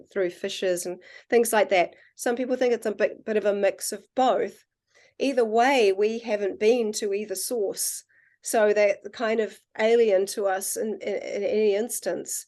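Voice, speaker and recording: A woman with a New Zealand accent, a podcast guest and water researcher, talking in an earnest, musing way and slowing down on her key points. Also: medium pitch, darkish tone. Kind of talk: casual conversational interview.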